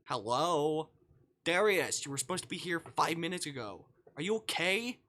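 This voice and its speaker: A male streamer reading a visual novel aloud dramatically, with expressive, exasperated delivery and asides of his own. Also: a little high, normal speed. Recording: faint music behind the voice.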